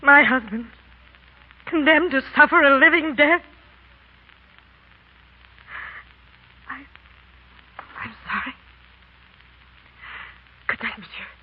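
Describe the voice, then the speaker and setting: Desperate, pleading wife, old radio. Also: female voice, high-pitched, measured pace, pauses often. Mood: despairing. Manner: earnest, imploring, formal. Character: desperate pleading Frenchwoman, devoted wife of condemned prisoner. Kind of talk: 1930s radio drama acting. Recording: muffled, noisy 1930s radio recording.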